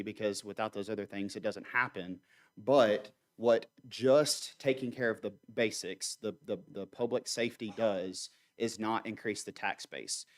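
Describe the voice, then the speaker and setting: A man making a measured, earnest argument during public comment at a city council meeting. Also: fairly low pitch. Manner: earnest, measured argument with deliberate slow stretches. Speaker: community organization leader speaking at council meeting.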